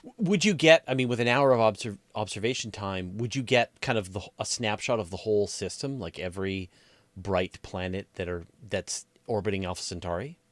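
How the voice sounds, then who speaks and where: A man's voice: on the low side, fairly quick, no room sound. A man hosting an interview, setting out examples and questions in a conversational style. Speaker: interviewer, podcast host.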